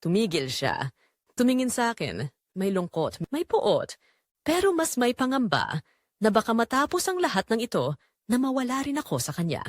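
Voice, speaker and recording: Actress as sensual, restless Filipina wife confessing an affair; very animated pitch, dry close sound, audio drama narration. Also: female voice, moderate pace, no room sound. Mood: conflicted, resolute.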